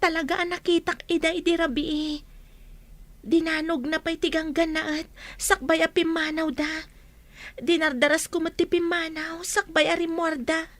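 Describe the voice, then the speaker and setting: An anxious young daughter in a radio drama. Her voice sits extremely high and earnest. The sound is dry, with no room around it. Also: flat delivery, moderate pace, a bit bright, dry recording. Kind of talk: Ilocano radio drama acting.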